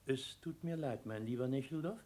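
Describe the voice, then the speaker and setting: An actor playing a polite, formal high official in a 1960s German radio drama, regretful yet evasive. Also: male voice, dark tone, natural voice. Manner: formal, courteous, measured.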